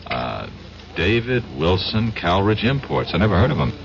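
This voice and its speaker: A man's voice: sits low, very animated, moderate pace. An actor playing an earnest, skeptical young newspaperman in a 1970s radio drama, hesitant and incredulous in his delivery. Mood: wary, disbelieving. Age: young adult. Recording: dull, noisy old radio broadcast.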